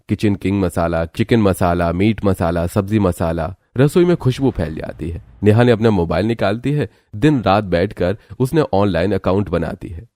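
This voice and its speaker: A man narrates a scripted story in a low, dark-toned voice. The recording is close and dry, with the delivery of a storyteller. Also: dry recording.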